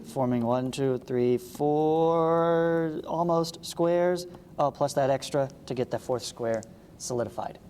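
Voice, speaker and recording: Man, math teacher, soft dark tone, read-along delivery with casual asides, long reverb, noticeable noise. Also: a little high. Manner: explanatory, checks understanding with short asides. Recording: long reverberant echo, clearly noisy background.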